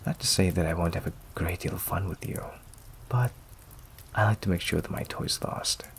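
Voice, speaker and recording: Man acting a deep, gravelly, possessive vampire. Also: a little hiss or hum. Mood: coaxing, menacing. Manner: slow, silky, often breathy near-whisper, condescending endearments. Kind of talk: ASMR roleplay acting. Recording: steady rain ambience behind the voice. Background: rain.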